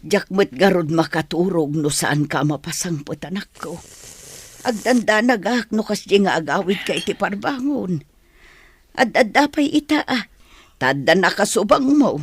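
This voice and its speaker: Woman acting as husky-voiced, anxious mother. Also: fairly low pitch, lively intonation, normal speed, dry recording. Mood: fretful, tender. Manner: fretful, pleading. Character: anxious, protective mother of a grown son. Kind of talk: Ilocano audio drama acting.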